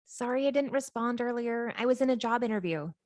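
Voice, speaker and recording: A woman's voice: on the high side, fairly quick, darkish tone, no room sound, close to an everyday voice. A woman playing an eager, uneasy young woman in a script table read, casual and apologetic.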